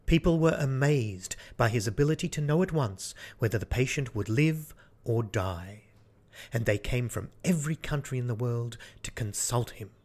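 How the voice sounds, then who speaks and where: A male storyteller narrating a fairy tale from a script. His pace is slow and measured, with an average pitch for a man. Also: darkish tone.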